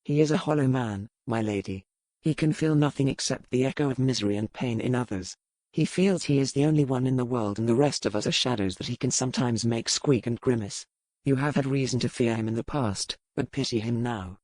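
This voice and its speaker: A man playing a proud, dangerous duelling earl in an audiobook romance, speaking in formal, measured period language. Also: mid-range voice, darkish tone, no room sound, natural voice. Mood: grave, measured. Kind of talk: dramatised audiobook dialogue.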